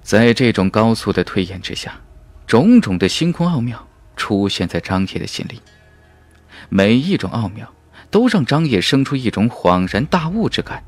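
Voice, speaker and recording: A man with a fairly low voice narrates fantasy fiction with dramatic emphasis. His pitch swings widely as he tells the story in measured phrases. The sound is dry and close, like a studio audiobook. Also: sing-song, dry recording.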